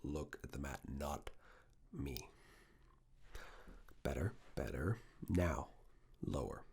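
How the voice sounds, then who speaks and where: A man acts out a stern male fitness examiner in an ASMR roleplay, with an extremely low, gravelly voice. He gives clipped, hushed orders very slowly, controlling but reassuring, and often drops to a whisper. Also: expressive, heavily acted. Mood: stern, encouraging. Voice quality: breathy, gravelly. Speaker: ASMR performer. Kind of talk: ASMR personal attention roleplay.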